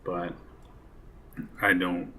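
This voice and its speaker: Low, very flat-toned man. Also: extremely slow, slight background noise. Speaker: role-play game player. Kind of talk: casual in-character group planning. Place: online voice session for streamed role-play game.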